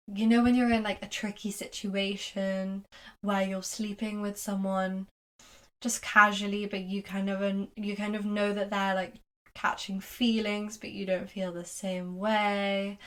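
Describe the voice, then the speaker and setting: A woman co-hosts a casual podcast, recording from a distance. She speaks at an unhurried pace, giggles often and steers the conversation. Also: medium pitch. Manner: breezy, giggly, leads the segments. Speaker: podcast co-host. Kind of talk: casual chat. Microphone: remote call setup.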